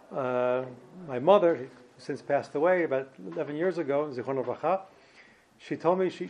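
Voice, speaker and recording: A man in his fifties with a New York accent, giving an informal personal talk as a guest speaker in a halting, slightly uneasy way. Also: a little high, darkish tone, lo-fi sound, a little hiss or hum. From New Jersey and Brooklyn, New York, United States. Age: 50s. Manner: hesitant, halting, slightly ill at ease. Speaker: guest speaker, former yeshiva student.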